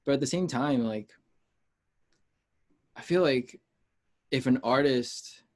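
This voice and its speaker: A man from the United States chatting casually as an interview guest, informal and rambling, pausing to gather his thoughts. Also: mid-range voice, darkish tone. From United States, Peruvian heritage. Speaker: interview guest, music artist.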